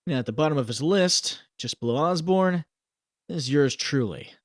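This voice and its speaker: A man's voice: on the high side, expressive, moderate pace, no echo, clean studio sound, natural voice. A man playing a wry, self-deprecating young superhero who works as a reporter, in a comic dub. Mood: wry unease. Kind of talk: comic dub acting with narration.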